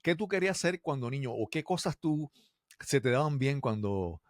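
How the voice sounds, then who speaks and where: A man's voice: medium pitch, lively intonation, moderate pace, no echo, clean studio sound. Man hosting an interview. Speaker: interviewer and host. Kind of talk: conversational interview.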